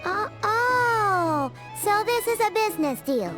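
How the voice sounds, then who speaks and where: Small fairy companion, childlike squeak, extremely high, animated intonation, curious, naive, video game. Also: female voice, slow, loud music behind the voice, heavily acted. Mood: curious, puzzled. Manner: refers to self in third person, puzzled.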